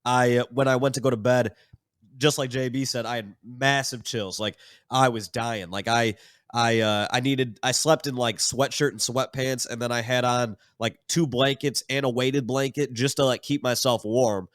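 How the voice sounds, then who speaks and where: A man's voice: mid-range voice, moderate pace, dry recording, studio quality. Congested nasal man. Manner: rambling, relaxed storytelling. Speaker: podcast guest. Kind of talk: casual podcast chat.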